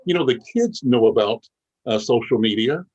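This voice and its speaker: An older man hosting an interview, speaking in a measured, reflective way with frequent pauses. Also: mid-range voice, expressive, a little mellow, husky, highs cut off. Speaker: interviewer, show host.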